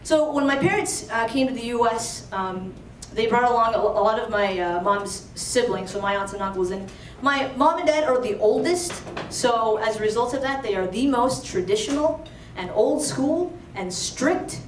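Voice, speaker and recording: A woman's voice: on the high side, moderate pace, few pauses, far from the mic, a little hiss or hum. A young woman from Virginia telling a true story to a live audience, chatty and conversational. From Alexandria, Virginia, USA. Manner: chatty and conversational, full of "like" and "you know". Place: live storytelling event in a roomy venue. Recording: roomy live venue, voice from off-mic.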